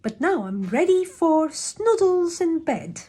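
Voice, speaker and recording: A woman's voice: very high-pitched, slow pace, a little hiss or hum, voice pushed up, exaggerated voice. A woman voicing a mischievous, playful male puddle creature with a tail and paws, speaking in bouncy rhymes. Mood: gleeful. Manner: bouncy, rhyming, excitable.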